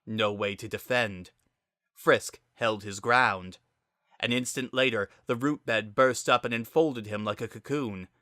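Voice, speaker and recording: Bright, forceful male story narrator. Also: fairly low pitch, a little slow, no echo, studio quality. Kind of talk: dramatic scripted story narration.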